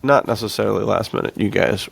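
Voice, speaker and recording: A man's voice: fairly low pitch, fast, gravelly. A man co-hosting a podcast, talking casually in a laid-back, jokey way with informal slang. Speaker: podcast co-host. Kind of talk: casual film discussion.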